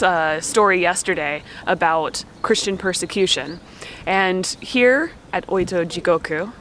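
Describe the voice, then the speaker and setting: A woman's voice: lowish voice, a bit bright. A woman in her thirties telling stories as a travel vlogger, filmed outdoors on a handheld camera at arm's length. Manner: chatty, playful storyteller. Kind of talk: casual travel vlog narration. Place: outdoors at steaming volcanic hot springs. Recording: outdoor wind and steam noise. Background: hissing steam vents.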